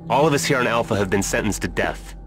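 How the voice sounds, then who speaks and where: Actor as a low-voiced, grimly idealistic scientist. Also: male voice, fast talker, a bit bright, slight background noise, natural voice. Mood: impassioned. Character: weary, grimly idealistic scientist in a sci-fi game. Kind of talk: video game cutscene acting.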